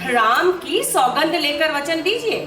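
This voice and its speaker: A woman's voice: sits high, sing-song, takes it slowly, full character voice. A woman playing a proud, stubborn queen, speaking in an imperious, theatrical manner in a mythological drama. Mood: cold, demanding. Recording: echoey, distant playback with loud music.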